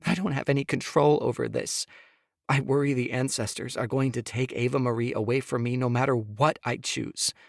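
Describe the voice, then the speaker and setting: Male reader doing young mother, pleading and tearful, raised pitch, straining. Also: steady tone, moderate pace, gravelly, dry recording, clean studio sound, lightly acted. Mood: anxious.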